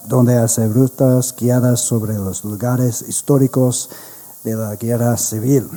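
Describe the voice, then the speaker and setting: An American man whose first language is English reads a prepared introduction at a conference. He takes it slowly, with a very dark, mellow voice. Now and then he stumbles and restarts a word. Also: mid-range voice, poor audio. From United States. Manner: slow, careful reading with some restarts. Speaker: presenter at a history conference.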